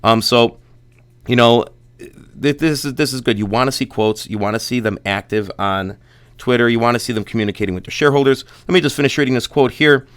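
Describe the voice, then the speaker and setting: A man's voice: mid-range voice, fairly bright tone, clear, resonant tone. A young man hosting a casual stock update, rambling and restarting often, congested and losing his voice. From Buffalo, New York area. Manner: rambling, restarts often, reads quotes haltingly. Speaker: stock channel host.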